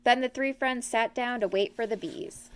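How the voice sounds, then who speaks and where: A woman's voice: on the high side, normal speed, a little hiss or hum. A woman narrating a children's storybook from a script as the reader.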